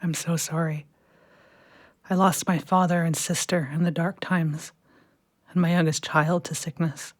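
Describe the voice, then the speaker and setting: Gentle consoling mother in an audio drama, low steady voice. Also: a little slow, pauses often. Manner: gentle, reassuring. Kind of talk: audio play acting.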